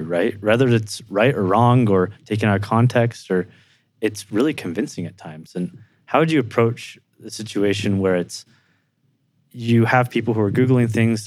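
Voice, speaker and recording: Low-pitched man hosting a podcast. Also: no echo. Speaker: podcast host. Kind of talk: conversational interviewing.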